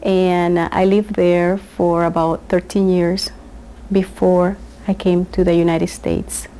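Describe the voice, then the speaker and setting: A woman speaks in a slightly low, mellow voice. She answers gently and without hurry. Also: little pitch movement, slow pace. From Panama. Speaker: interviewee, dancer.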